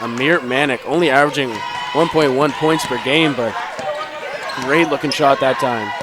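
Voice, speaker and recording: A man under the weather calling a basketball game play by play, rising to shouts on made shots. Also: mid-range voice, normal speed. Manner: rises to excited shouts on baskets. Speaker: play-by-play commentator.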